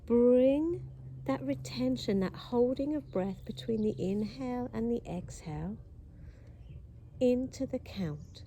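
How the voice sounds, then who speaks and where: Slow, mellow woman guiding breathwork. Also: fairly high pitch, a little hiss or hum. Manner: calm, slow, counting steadily. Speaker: breathing instructor. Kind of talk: guided breathing practice.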